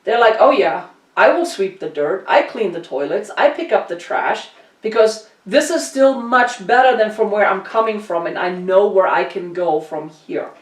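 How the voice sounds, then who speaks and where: A woman's voice: medium pitch, expressive, moderate pace. A woman from Germany hosting a casual talk show, speaking emphatically and earnestly and repeating phrases to drive home her opinions. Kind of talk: casual conversational opinion chat. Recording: roomy echo, distant from mic.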